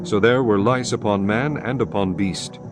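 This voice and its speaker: A man narrating scripture in a solemn, measured, scripted style. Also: on the low side. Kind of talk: scripted dramatised Bible narration. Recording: music behind narration.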